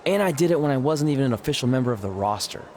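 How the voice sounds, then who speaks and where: A man's voice: medium pitch, animated, rapid-fire, a little mellow, slight background noise, close to an everyday voice. A man playing a cocky, paranoid, goofy young wrestling champion in a video game, boastful and nervously secretive. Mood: cocky, defensive. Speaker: voice actor in a video game cutscene. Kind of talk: comic video game dialogue acting.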